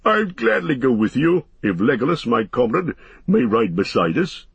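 A man voicing a gruff, proud dwarf warrior from a storybook, speaking in formal, archaic phrases. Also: on the low side, lively intonation, normal speed, no room sound. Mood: gratified.

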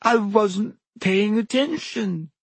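A mournful teenage ghost girl from a storybook, acted out by a man, pitched high and wailing. She drags her words out tearfully and melodramatically, full of wounded self-pity. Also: expressive, takes it slowly, creaky, darkish tone, breathy edge, no echo, full character voice. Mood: dramatic self-pity.

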